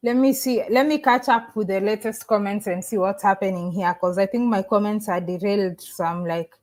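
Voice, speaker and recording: A woman's voice: mid-range voice, steady tone, brisk, darkish tone. A woman from Africa who lives in the Caribbean, chatting casually on a live stream. She reads viewer comments and talks to them directly, often restarting her sentences. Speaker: YouTuber livestreaming and reading chat. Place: living in the Caribbean.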